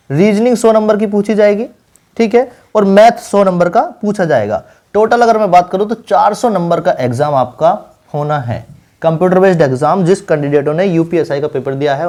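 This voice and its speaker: A man's voice: high-pitched, a little mellow. A man giving an informal explainer lesson to exam candidates, instructive, repeating key points and checking in as he goes. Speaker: exam-preparation teacher and channel host.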